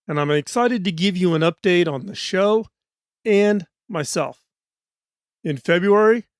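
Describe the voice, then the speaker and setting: A middle-aged man hosting a podcast in a studio. He talks in an earnest, measured, scripted way, and his voice is hoarse. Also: on the high side, no echo. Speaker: podcast host.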